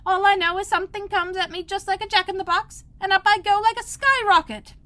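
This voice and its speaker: A woman voicing a feeble, flustered little male lizard with a squeaky voice. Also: steady tone, brisk, pushed and bright.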